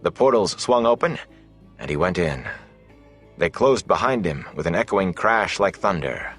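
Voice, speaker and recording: A man reading a fantasy audiobook as its narrator, in a measured, deliberate, dramatic storytelling delivery. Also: deep voice, lively intonation, fairly bright tone, faint music behind.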